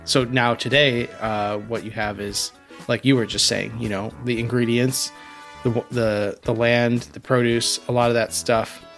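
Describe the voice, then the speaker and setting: A man co-hosts a podcast in easy, thinking-aloud chat, full of "you know" and "like." His pitch is middling. Soft guitar music plays faintly under him. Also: little pitch movement, unhurried. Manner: conversational, reflective, filler-heavy. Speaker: podcast co-host.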